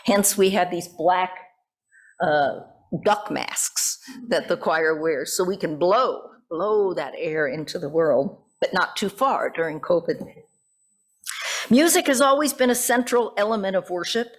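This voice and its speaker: Female pastor, American, middle-aged, lowish register, conversational and thoughtful sermon delivery at a church lectern. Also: expressive, unhurried. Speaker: pastor preaching. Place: church sanctuary at a pulpit. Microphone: gooseneck pulpit microphone.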